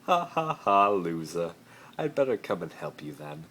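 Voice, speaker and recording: A theatrical, spoiled teenage wizard from a fan story, acted out by a man. He is pompous and grandly over-dramatic, pitched well above the reader's deep natural voice. The phone recording carries some faint noise. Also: very animated, a little slow, a bit bright, full character voice. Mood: bossy, mocking. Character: haughty, theatrical teenage rich boy wizard, posh and gallant. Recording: noisy amateur phone recording.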